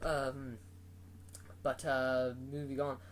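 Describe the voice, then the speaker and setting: A man's voice: mid-range voice, monotone, slow. Man, casual movie review, rambling, lots of ums and likes, faint background noise. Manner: rambling, filler-heavy, restarts often.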